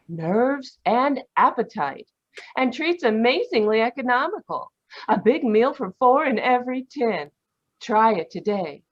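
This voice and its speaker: A woman plays a cheery 1940s radio advertiser, persuasive and unhurried. Her pitch swings brightly up and down, and the audio is slightly dull. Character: cheery, persuasive female canned-meat advertiser. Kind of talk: 1940s radio commercial reading. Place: live video call at home. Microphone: video call.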